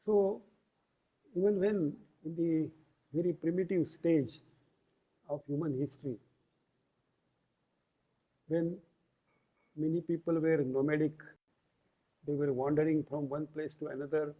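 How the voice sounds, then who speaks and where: A man giving an explanatory lecture, deliberate and pausing between short phrases. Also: fairly high pitch, steady tone. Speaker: yoga lecturer. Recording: tinny, phone-like band-limited audio.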